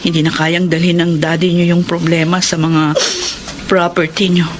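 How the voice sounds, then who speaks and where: An actress playing a caring, sorrowful middle-aged aunt with a gentle manner in a radio drama. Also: female voice, lowish voice, level tone, moderate pace, background noise, lightly acted. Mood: consoling. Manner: gentle, consoling.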